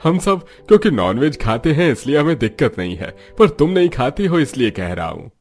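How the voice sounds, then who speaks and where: A man's voice: medium pitch, sing-song, brisk, soft background music. A man voicing an older, food-loving father-in-law who bosses his family in a cartoon story. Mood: instructing. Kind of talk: Hindi cartoon story dialogue.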